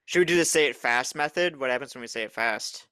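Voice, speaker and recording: Man, podcast co-host, casual chat, teasing and jokey, bright voice, dry close sound. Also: mid-range voice, fast, clear, resonant tone, no echo.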